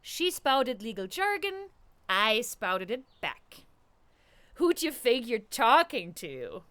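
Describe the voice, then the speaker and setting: Woman as a cocky, animated cartoon girl creature. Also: a little high, takes it slowly, exaggerated voice. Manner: slangy, bragging. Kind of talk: animated comic fandub.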